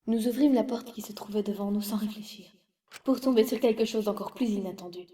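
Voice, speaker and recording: A woman playing an earnest, easily frightened high school girl in an amateur video game dub. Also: fairly quick, warm tone, soft background music, natural voice. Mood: narrating, composed.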